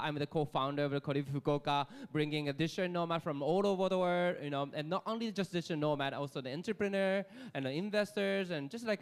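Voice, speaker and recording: A man's voice: fairly high pitch, smooth. A man moderating a live panel on a hotel event stage, loose and upbeat, full of "like" and "you know". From Japan. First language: Japanese.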